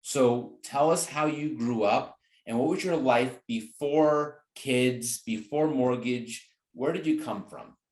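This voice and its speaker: A man's voice: medium pitch, slow pace, hardly any pauses, darkish tone. A man hosting an interview podcast, speaking conversationally in an earnest, encouraging manner. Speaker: podcast host. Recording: roomy, echoing sound.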